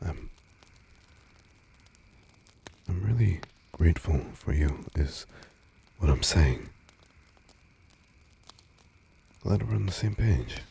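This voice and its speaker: Man playing a very low, gravelly vampire lover. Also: animated, dark tone, slight background noise. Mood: vulnerable, grateful. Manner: breathy, halting murmur, often dropping to whispers. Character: tender, teasing, insecure male vampire lover. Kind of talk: intimate roleplay audio drama.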